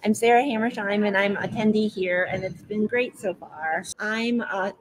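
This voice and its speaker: Woman, teacher librarian, friendly, mid pitch. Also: normal speed, a crowd in the background. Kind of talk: self-introduction.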